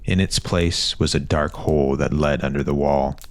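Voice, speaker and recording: A man's voice: extremely low pitch, steady tone, moderate pace. A man narrating a scripted fantasy story as a storyteller.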